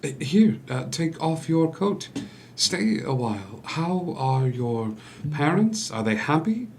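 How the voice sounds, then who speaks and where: A man acts out a doting young suitor in a stage musical read. He sweet-talks at an unhurried pace in a mid-pitched voice with a creaky edge. Faint room noise sits behind him. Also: very animated, exaggerated voice. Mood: doting, coaxing. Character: doting, charming young husband-to-be Henry, smooth sweet-talker. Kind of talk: musical theatre table read.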